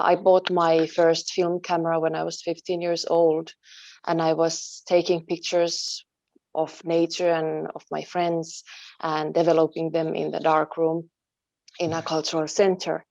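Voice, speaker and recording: A woman from Finland, a native Finnish speaker, being interviewed about her work and talking thoughtfully, pausing and searching for words. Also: lowish voice, darkish tone, no echo, lo-fi sound. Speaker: interview guest, photojournalist. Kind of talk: online interview.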